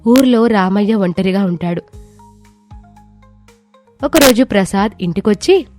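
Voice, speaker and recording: A woman's voice: medium pitch, lively intonation, measured pace, warm tone, faint music behind. A woman storyteller reading a scripted moral tale as narration.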